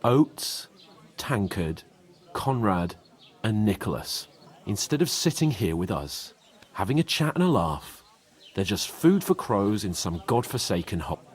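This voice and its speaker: A man's voice: fairly low pitch, big pitch swings, measured pace. An actor playing a hot-tempered, sarcastic young nobleman down on his luck in a medieval video game. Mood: bitter, mournful. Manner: sarcastic, swearing, griping. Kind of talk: video game cutscene acting.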